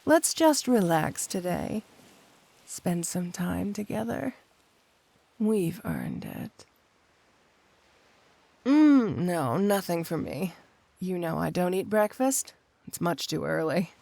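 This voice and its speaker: A woman's voice: lowish voice, big pitch swings, gravelly, warm tone, exaggerated voice. A woman playing a flirty, possessive supervillain cat burglar wife in an intimate ASMR roleplay, coyly drawing out her endearments. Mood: relaxed, flirty. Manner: coy, drawn-out, purring endearments with pointed hums.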